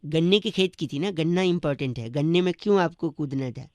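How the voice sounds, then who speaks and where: A man's voice: a little high, brisk, a little mellow, no room sound, close to an everyday voice. A man playing a cheeky, pestering, argumentative prank caller in a comic radio phone call. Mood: insistent.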